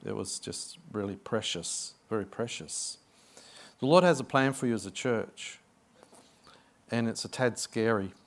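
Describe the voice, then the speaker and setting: A man's voice: on the low side, unhurried, gravelly. A middle-aged Australian man, a guest pastor, preaching a sermon in an effusive, chatty way with personal stories.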